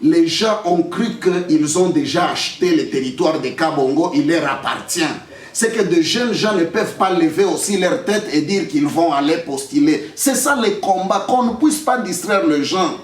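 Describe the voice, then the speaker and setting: A man's voice: a little high, moderate pace. A young man with a Congolese French accent giving an emphatic, defiant public statement, repeating phrases for effect. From Democratic Republic of the Congo. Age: young adult. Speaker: lawyer and political candidate. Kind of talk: press statement answering accusations. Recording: echoey room, distant microphone.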